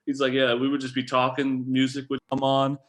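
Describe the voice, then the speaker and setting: A man co-hosting a sports podcast, telling stories in casual, animated banter and swearing freely. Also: medium pitch, a little fast, faint music behind. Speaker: podcast co-host.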